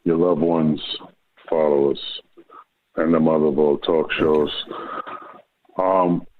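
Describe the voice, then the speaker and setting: A man's voice: rumbling low, fairly even intonation, slow pace. A man calling in to a talk show, speaking in a florid, rambling way with many fillers. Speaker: caller. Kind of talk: phone-in commentary. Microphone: phone. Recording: tinny phone line with faint hiss.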